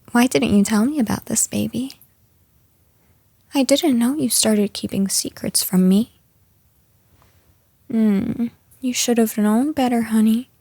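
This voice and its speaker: Slow, possessive yandere girlfriend, acted by a woman. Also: a little high, dark tone, no room sound, full character voice. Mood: quietly menacing. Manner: slow, taunting, condescending pet names, slipping into breathy whispers. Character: possessive, jealous, unhinged yandere girlfriend, menacing and mocking. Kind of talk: roleplay audio drama, intimate address to listener.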